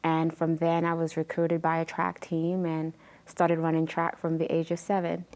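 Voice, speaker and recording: A woman in her thirties, originally from Jamaica, telling her life story as an interview guest with frequent pauses and fillers. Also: low-pitched, monotone, a little mellow. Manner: many ums and pauses, earnest retelling.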